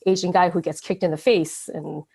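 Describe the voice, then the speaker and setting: A woman's voice: fairly low pitch, fairly even intonation, normal speed, vocal fry, warm tone, breathy edge. A woman with an American accent talking casually as an interview guest, peppering her informal speech with filler phrases. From born in Taiwan, grew up in the United States from age seven. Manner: informal, frequent "like" and "you know".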